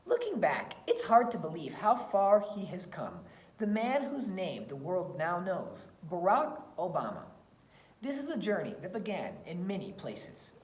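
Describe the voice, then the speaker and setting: A woman reading a children's picture book aloud in an expressive storytelling style. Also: on the low side, unhurried. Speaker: read-aloud reader. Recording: roomy, echoey sound.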